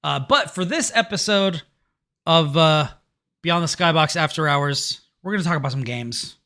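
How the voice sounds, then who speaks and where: Male podcast host, tired but chatty, relaxed humor, bright and somewhat high. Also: normal speed. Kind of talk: casual podcast chat.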